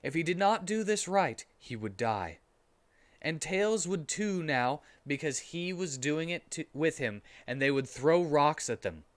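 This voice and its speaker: A man streaming a comic dramatic reading with casual commentary, sounding amused and animated. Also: fairly high pitch, unhurried.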